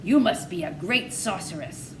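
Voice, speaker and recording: A woman's voice: fairly low pitch, lively intonation, normal speed, close to an everyday voice. A woman voicing a kindly, respectful rich farmer man in a storybook reading. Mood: impressed. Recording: noisy, distant microphone pickup.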